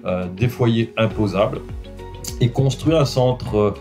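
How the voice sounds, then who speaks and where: Guy with average pitch, prepared remarks to press, music clearly underneath. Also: a little slow.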